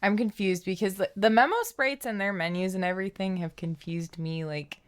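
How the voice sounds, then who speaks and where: A woman's voice: medium pitch, a little fast, smooth. A woman livestreaming a game, thinking aloud in a casual, playful way. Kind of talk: casual gameplay commentary.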